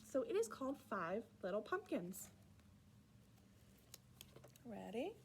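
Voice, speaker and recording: A woman's voice: on the high side, big pitch swings, takes it slowly, slight background noise. A woman storyteller reading aloud and leading singalongs for young children in a playful, encouraging, exaggerated way.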